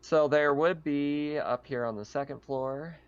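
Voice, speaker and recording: A man casually narrating a tabletop roleplaying game as game master, describing scenes in a halting, improvised way. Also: a little high, clean tone, no room sound, muffled recording.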